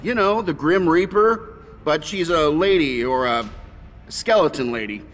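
A man's voice: fairly high pitch, expressive, unhurried, fairly bright tone. An actor voicing a wisecracking male mercenary who talks in a jokey, sarcastic way, in a game cutscene. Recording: game cutscene, reverberant, music.

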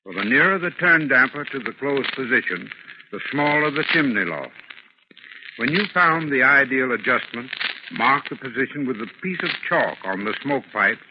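A man giving measured, scripted advice as an expert in a 1930s radio advertisement. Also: mid-range voice, expressive. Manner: measured, instructional. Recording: muffled, noisy, clicking 1930s radio recording.

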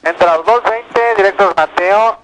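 A man's voice: sits high, measured pace. A man, an airline pilot making clipped readbacks over aviation radio. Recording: very noisy crackly aviation radio.

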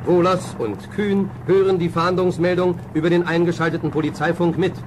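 Actor as higher-voiced male radio-play narrator. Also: flat delivery, a little fast, natural voice. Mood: matter-of-fact. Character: matter-of-fact narrator of a police adventure. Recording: old radio play, noisy backdrop.

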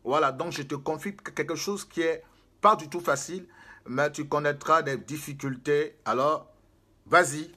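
A man's voice: fairly high pitch, normal speed, bright, forceful tone. A man, preaching to a camera in a small room, emphatic and repetitive, gesturing as he speaks. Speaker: prophet and spiritual guide.

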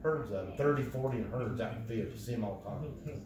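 A man's voice: lowish voice, fast, heavy background noise. A man telling stories in casual, heavily profane chat, recorded by a phone across a living room. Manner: profane, animated retelling with acted-out quotes. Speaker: storyteller. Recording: roomy, distant phone recording, background chatter.

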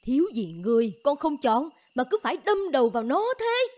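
Woman playing a high-voiced, scolding mother. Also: sing-song, a little fast, a little mellow. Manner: scolding, lamenting. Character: stern, worried middle-aged village mother. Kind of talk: cartoon voice acting.

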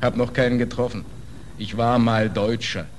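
An actor playing a cynical, resigned German ship's hand, terse and sardonic, in a 1940s radio drama. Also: male voice, mid-range voice, level tone, normal speed, lightly acted. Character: cynical, hard-bitten German stoker, stateless and resigned. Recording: noisy 1940s radio recording.

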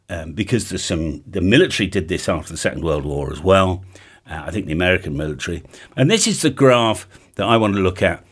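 A man in his fifties with a very low-pitched voice presents a technical explainer in an informal way. He talks conversationally, dropping in emphatic asides and checking that the listener follows. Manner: conversational, emphatic asides and check-ins like "all right" and "okay". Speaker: amateur radio video presenter. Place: indoor home studio.

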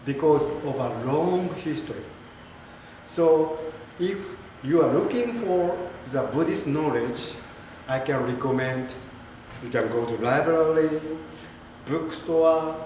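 A middle-aged man from Japan with a Japanese accent, a Buddhist priest talking to the camera in simple, careful English. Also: mid-range voice. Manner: simple, careful English with small grammar slips. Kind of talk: informal video talk to camera. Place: temple hall indoors, standing before an altar. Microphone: camera a few steps away. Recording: very echoey room with clear background noise.

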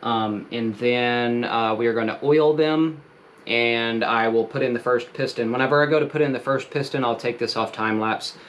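A man's voice: mid-range voice, steady tone, normal speed, a bit bright, slight background noise. A man in his thirties, talking casually and rambling with many fillers as he explains hands-on repair work in a small wooden workshop, recorded on the camera mic. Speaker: DIY mechanic vlogger. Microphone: camera mic while working.

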